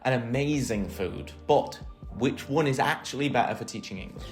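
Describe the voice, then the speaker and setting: A man's voice: mid-range voice, moderate pace, soft background music. A man co-hosting a casual scripted chat, adding wry, playful asides. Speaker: co-host.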